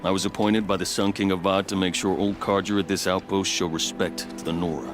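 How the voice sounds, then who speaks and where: A man playing a dutiful, proud veteran captain in a video game, speaking in a formal, earnest and measured way. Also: sits low, flat delivery, natural voice. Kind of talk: video game dialogue acting. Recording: background music under the voice.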